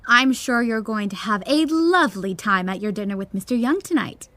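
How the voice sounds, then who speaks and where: A woman's voice: on the high side, expressive, normal speed, a bit bright, no echo. A woman playing an unflappable, teasing young friend with a wry, casual, soothing manner in an audio comedy-drama.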